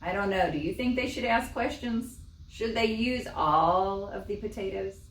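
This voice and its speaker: A woman reading a children's story as a library storytime host, expressive and speaking in simple short phrases. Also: mid-range voice, moderate pace, a little hiss or hum. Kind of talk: animated children's storytime narration. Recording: echoey room, distant mic.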